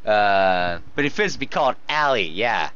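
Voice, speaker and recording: A man's voice: on the low side, animated, normal speed, a bit bright, smooth. Sarcastic man in a dull lo-fi recording. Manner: sarcastic, rambling, swears freely. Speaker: host reading fan fiction aloud with running commentary. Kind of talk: casual comedic read-aloud with mocking asides.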